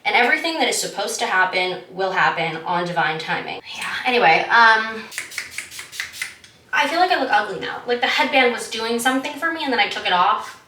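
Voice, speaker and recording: A woman's voice: on the high side, expressive, a little fast, sharp tone, far from the mic, slight background noise. A woman, chatting casually in a candid, rambling, slangy vlog style. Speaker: vlogger. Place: indoors at home, echoey room. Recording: roomy echo, away from the mic.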